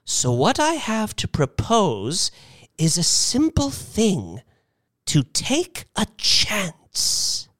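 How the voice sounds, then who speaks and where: A woman's voice: mid-range voice, wildly swooping pitch, very slow, a bit bright, no room sound, studio quality, pitched above the performer's own voice, heavily acted. A narrator voicing an indignant, bossy and proud old woman in her eighties, with an emphatic, declamatory delivery in a story reading. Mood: resolute, rallying.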